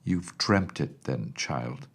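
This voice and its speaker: A man's voice: a bass voice, expressive, slow, slightly rough, voice pushed down, heavily acted. A man voicing a stern, skeptical woman, a children's nurse, scolding and dismissive in storybook dialogue. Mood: vexed, scolding.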